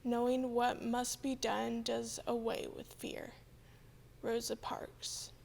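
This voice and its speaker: Slow-reading woman with a creaky edge. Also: fairly high pitch, monotone, darkish tone. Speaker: church member reading aloud. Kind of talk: solemn scripted reading of quotations.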